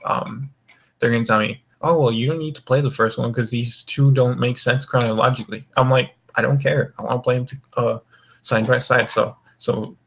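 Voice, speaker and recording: A man's voice: mid-range voice, steady tone, moderate pace, vocal fry, breathy edge. A young man hosting a gaming voice call, rambling casually in a laid-back way and swearing often. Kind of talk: casual group chat, rambling. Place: online voice call.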